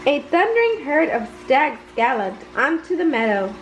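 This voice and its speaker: A woman reads a children's bedtime story aloud expressively, with some halting slips. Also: high voice, sing-song, a little hiss or hum, rough recording. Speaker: storybook reader.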